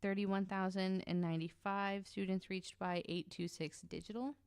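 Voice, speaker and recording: A woman's voice: medium pitch, steady tone, normal speed, a little mellow, clear, resonant tone, dry recording. A woman chatting casually and bluntly on a discussion panel, sometimes reading information aloud. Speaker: podcast panelist. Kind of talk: casual panel chat, reading out web pages.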